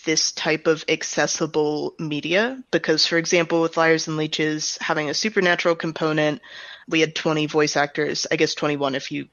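A woman speaking as an interviewed director, explaining her creative work in a thoughtful, reflective way with softened statements. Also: deep voice, flat delivery, normal speed, no echo, dull audio. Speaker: audio drama director and writer being interviewed.

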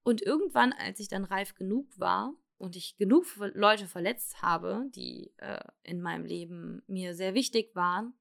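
A young woman from Germany talks through her own story in a frank, confessional way. She hesitates a lot, restarting sentences and filling pauses. Her voice sits at a middle pitch. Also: creaky, fairly bright tone. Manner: hesitant, halting, many fillers and restarts; candid, confessional. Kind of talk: personal testimony.

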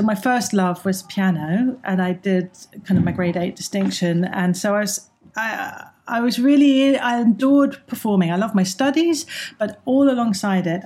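A woman's voice: mid-range voice, dark tone. A middle-aged woman, a drama teacher answering interview questions in an enthusiastic, chatty way with frequent ums. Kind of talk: interview guest, recounting her background.

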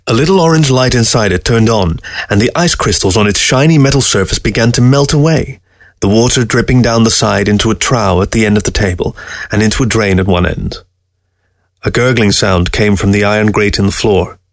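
A man's voice: fairly low pitch, brisk, gravelly, no echo, clean studio sound. A man reading a short story aloud as scripted literary narration.

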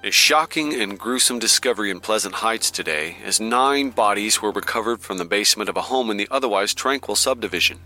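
An actor playing a grave, formal television news anchor reading a scripted bulletin in an audio drama, with a crisp announcer delivery. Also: male voice, lowish voice, animated, brisk, hardly any pauses, pushed and bright, soft background music, low-quality recording. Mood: grave, urgent.

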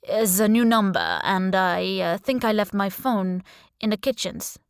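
Woman voicing a slow, charming hitman. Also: medium pitch, very animated, smooth, no echo, clean studio sound. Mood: smooth, hesitant. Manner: slow, halting, casually charming. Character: smooth, charming male Spanish assassin posing as chef. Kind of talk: audiobook character dialogue.

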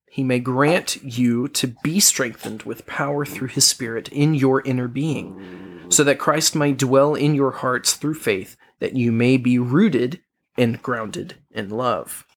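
A man co-hosting a podcast, reading Bible verses and explaining them earnestly, with a measured pace and some restarts. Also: mid-range voice, husky. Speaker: podcast co-host.

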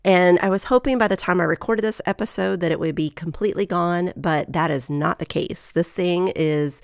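Nasal, gravelly-voiced woman podcast host. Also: on the low side, normal speed, dry recording, studio quality. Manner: earnest, encouraging, reads scripture aloud. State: getting over a head cold.

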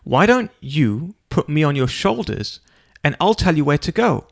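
A man voicing a cheerful, eager elderly man in a wheelchair, talking in excited, pleading bursts. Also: mid-range voice, normal speed, vocal fry.

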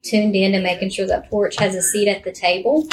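A woman hosting a conversational interview, speaking in an unhurried way with pauses between her phrases. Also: medium pitch, little pitch movement, noisy background. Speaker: podcast host, interviewer.

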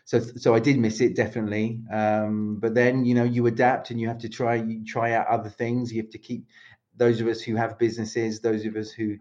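A man's voice: mid-range voice, little pitch movement, brisk, a little mellow. Rambling British man on a lo-fi call. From England. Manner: rambling, many restarts and self-corrections. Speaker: podcast guest, speaking coach. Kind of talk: casual interview chat over video call. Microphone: video call.

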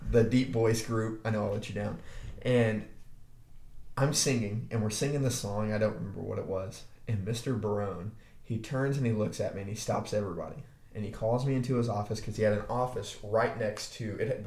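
A young man from Arkansas with a deep voice, co-hosting a podcast and telling laid-back stories full of restarts and swearing. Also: darkish tone. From Arkansas, USA. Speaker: podcast co-host. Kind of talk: casual chat. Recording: echoey room.

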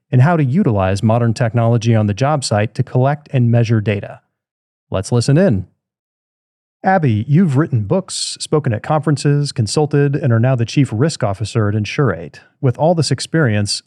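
A man hosting a podcast who opens with a polished introduction and then moves into relaxed questions. His voice is mellow and warm in tone. The sound is close and dry. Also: mid-range voice, dry recording. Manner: friendly, scripted intro then easy conversational questions. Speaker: podcast host. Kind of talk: podcast interviewing.

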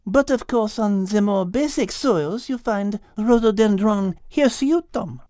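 A woman's voice: medium pitch, measured pace, mellow, no room sound, studio quality, voice pushed up, natural voice. A woman voicing a clever, elegant female French cat who explains things precisely and eagerly in a children's audiobook, with a French accent. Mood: enthusiastic teaching.

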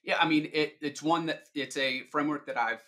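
A man's voice: on the high side, a little fast, bright, forceful tone. A middle-aged man giving informal, explanatory answers as a sales leader being interviewed on a podcast.

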